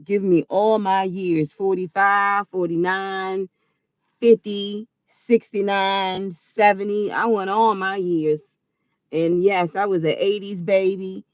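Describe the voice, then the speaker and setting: A woman around forty telling a personal story in a chatty, animated way, quick to laugh. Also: medium pitch, no echo, dull audio. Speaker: vlogger.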